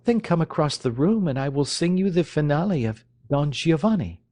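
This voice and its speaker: A man's voice: fairly high pitch, animated, normal speed, dark tone, no room sound, voice pushed up, lightly acted. A man voicing a coquettish, teasing young woman with a playful, mocking lilt.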